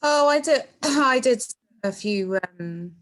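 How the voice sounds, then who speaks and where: A woman's voice: high voice, lively intonation, slow. A middle-aged woman, a singer chatting as a podcast guest, laughing often and padding her talk with fillers and tag questions. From England. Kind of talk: casual interview chat.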